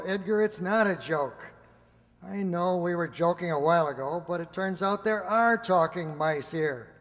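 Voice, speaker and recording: A man acts an earnest, flustered male lab official in a radio drama. His voice sits high and he speaks slowly, stammering and doubling back on his words. It rings with long hall reverb. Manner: stammering, hesitant, repeats himself. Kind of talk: amateur radio drama acting.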